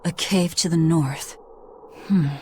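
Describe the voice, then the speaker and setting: A woman's voice: sits low, slow pace, warm tone, a little hiss or hum. An actress playing a guarded, blunt young demon woman in a game, speaking curtly. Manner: curt, blunt.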